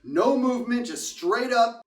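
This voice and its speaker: A man telling a casual anecdote in a theatrical, animated way, acting out reactions as he goes. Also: extremely high pitch, a little slow, distant mic. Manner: theatrical, acts out quotes and reactions. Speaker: storyteller, host of the show. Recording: roomy echo, off the mic.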